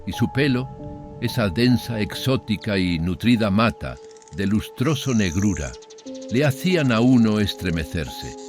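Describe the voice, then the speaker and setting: A man's voice: on the low side, natural voice. An actor playing a bitter, brooding older father, telling a horror story in a solemn, ominous, literary manner. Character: brooding, bitter older father recounting his son's marriage. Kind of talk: dramatic horror audiobook narration.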